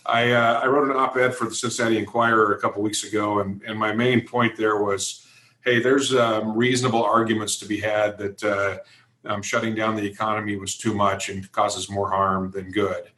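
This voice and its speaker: Male interview guest, American accent. Fairly deep, level pitch, emphatic plain talk, recorded in an echoey room. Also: flat delivery, normal speed, pushed and bright. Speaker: interview guest, events company executive. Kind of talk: conversational interview answers.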